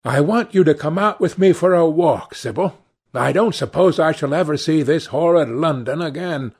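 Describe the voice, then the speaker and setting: A male narrator plays a thick-set young lad from a novel. The voice is gravelly and husky, blunt and grumbling, with a stern but good-natured temper. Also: on the high side, moderate pace, pitched above the performer's own voice. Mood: earnest.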